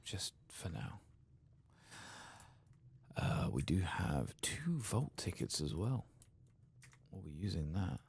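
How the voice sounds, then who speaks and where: A soft-spoken man murmuring unhurried video game commentary in an ASMR style, at times slipping into a whisper. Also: low voice, very animated, vocal fry, slight background noise. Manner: murmured, unhurried, reading quest text aloud. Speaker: ASMR gaming channel host.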